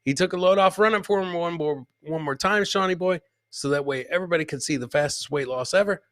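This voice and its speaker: A man's voice: fairly high pitch, fast, no room sound. A man hosting a live comedy clip show, joking and teasing as he comments on videos and repeats his punchlines.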